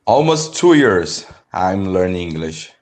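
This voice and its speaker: A young Brazilian man, a native Portuguese speaker, talking haltingly with many restarts in an online English lesson over a video call. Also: sits low, sing-song. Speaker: English learner. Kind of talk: online language lesson conversation.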